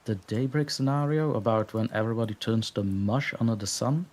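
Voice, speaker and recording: A man's voice: medium pitch, a little fast, a little mellow. A man from Belgium, a guest story narrator, chatting modestly and laughing often in a live stream interview. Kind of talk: casual interview chat.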